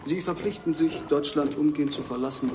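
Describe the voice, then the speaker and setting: An actor playing a menacing, coercive Nazi official in a radio drama. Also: male voice, a little high, brisk, recorded from a distance, noisy background, lightly acted. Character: smooth-worded menacing Nazi official demanding a signature.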